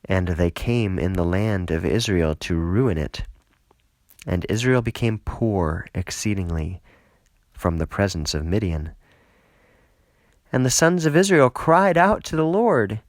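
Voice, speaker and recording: A man reading scripture aloud as an audiobook narrator, measured and solemn, lifting into emphasis for quoted speech. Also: low-pitched, animated, dry recording, clean studio sound. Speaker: audiobook reader.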